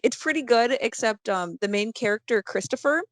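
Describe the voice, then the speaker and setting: A woman guest chatting casually on a podcast about cartoons and memes, enthusiastic and a bit halting. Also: fairly high pitch, expressive, dry recording.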